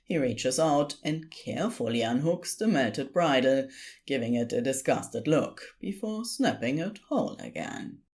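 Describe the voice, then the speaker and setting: A woman reading scripted story narration in an expressive storytelling style. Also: very deep voice, unhurried.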